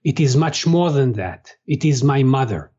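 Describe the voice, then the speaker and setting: A man whose first language is Hebrew, answering interview questions as a translator, pausing often with fillers and restarts. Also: mid-range voice, animated, dark tone, slightly rough, lo-fi sound. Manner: halting, many fillers and restarts. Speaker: guest, translator.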